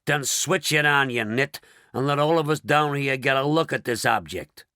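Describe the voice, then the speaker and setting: A man voicing a gruff, bossy male President with a deep, rasping voice who barks orders in a storybook reading. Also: normal speed, bright, forceful tone, no room sound, clean studio sound, lightly acted.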